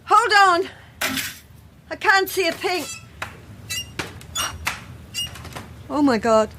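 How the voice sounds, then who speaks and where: A woman's voice: very high-pitched, big pitch swings, measured pace, sharp tone, a little hiss or hum, heavily acted. A woman playing a sceptical, guilt-ridden novelist in an audio horror drama. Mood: agitated, straining.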